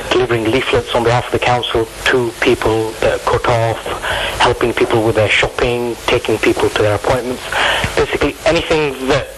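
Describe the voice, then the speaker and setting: Male British charity spokesman, mid-pitched, earnest and modest, interviewed by phone with clear noise on the line. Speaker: charity representative, interview guest. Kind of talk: informal radio phone interview. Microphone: telephone. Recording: noisy phone line into radio.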